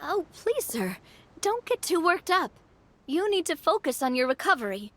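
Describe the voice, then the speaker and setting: A woman's voice: very high-pitched, sing-song, moderate pace, a bit bright, no echo, full character voice. A woman playing an earnest, spirited young female monster hunter in an anime-style video game. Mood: concerned, reassuring.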